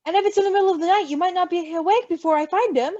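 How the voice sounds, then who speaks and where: A woman's voice: very high-pitched, rapid-fire, mellow, no room sound, voice pushed up. A woman voicing an anxious, protective male werewolf in a story reading, worried and earnest. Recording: dull lo-fi recording.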